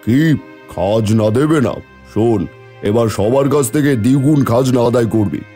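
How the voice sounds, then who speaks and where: A dark, mellow-toned landlord in a cartoon fairy tale, played by a man, cruel and greedy. He gives orders with menace and authority, over a bed of music. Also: mid-range voice, normal speed, close to an everyday voice. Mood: menacing anger. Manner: commanding, menacing. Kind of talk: animated folk tale acting.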